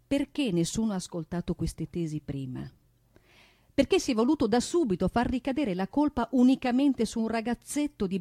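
Female narrator for radio theatre: theatrical, emphatic, rhetorical, medium pitch, very dry recording. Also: a little mellow, no echo. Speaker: stage narrator and singer. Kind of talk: dramatic theatrical monologue. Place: radio studio.

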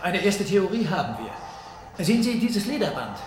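Animated, high-voiced scientist, echoey room. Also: male voice, moderate pace, over music. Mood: fascinated, earnest. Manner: pondering, expressive explaining. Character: fascinated male ship's scientist, earnest and analytical. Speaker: voice actor. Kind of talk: German science-fiction radio drama acting. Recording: echoey room with clear background noise.

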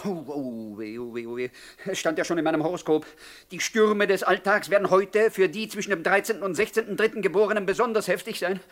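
High-voiced, fretful meek man, played by an actor. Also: steady tone, fast talker, lightly acted. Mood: worried. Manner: flustered, repeating himself anxiously. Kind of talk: comic radio drama acting.

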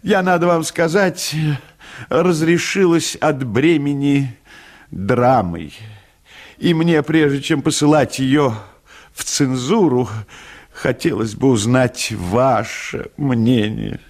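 A man voicing a nervous, gushing, pushy lady playwright, with a high voice and halting, effusive delivery in a storybook reading. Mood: coy pleading. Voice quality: high, tenor-like voice.

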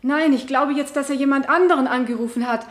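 A woman's voice: high-pitched, quick pace, lightly acted. A woman playing a shaken wife on the witness stand in a 1970s German radio drama. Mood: hesitant, defensive. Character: shaken wife testifying at her husband's murder trial. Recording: roomy radio play studio sound.

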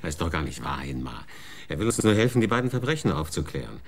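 An actor playing a weary man who urgently and gently pleads in a radio drama. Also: a bass voice, very animated, quick pace, heavily acted. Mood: pleading.

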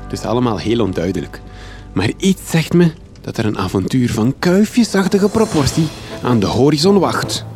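An actor with a Flemish accent playing an eager, theatrical big-city reporter in a radio drama. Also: male voice, medium pitch, very animated, moderate pace, a little mellow, faint music behind, natural voice. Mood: adventurous.

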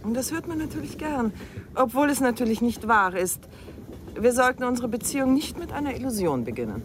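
Over clear background noise, a middle-aged newlywed wife in a radio play. Her voice is fairly high and swings widely in melody. She comes across as playful and teasing, always probing with questions. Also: sing-song, brisk. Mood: light, inquisitive. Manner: teasing, inquisitive. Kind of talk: radio drama dialogue.

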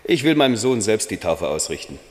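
A man playing a proud, gruff Greek sailor in a German radio play. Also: on the low side, big pitch swings, a little fast. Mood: proud, stubborn. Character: proud Greek sailor home after two years at sea. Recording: long reverb.

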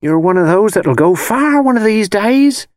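A man's voice: high voice, animated, moderate pace, darkish tone, dry recording, clean studio sound, voice pushed up. A man voicing a malicious, wheedling old woman who tells fortunes, with rustic dialect speech and ominous insistence, in an audiobook. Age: older. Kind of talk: dramatised audiobook character voice.

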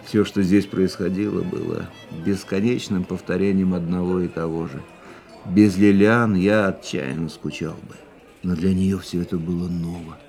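A male actor playing a world-weary man in a radio play, musing tenderly on the woman he loves in a measured, reflective monologue. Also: deep voice, steady tone, gravelly, darkish tone. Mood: brooding, then wistful. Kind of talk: literary first-person monologue in a radio play. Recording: music under the voice.

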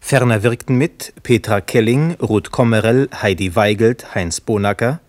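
A man announcing radio play credits from a script in a formal, deliberate manner. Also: fairly low pitch, darkish tone, no room sound.

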